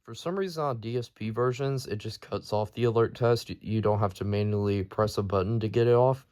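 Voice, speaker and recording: A man's voice: fairly low pitch, fairly quick, a little mellow. A man presenting his hobby collection in a casual, unscripted show-and-tell, with a matter-of-fact, informal delivery.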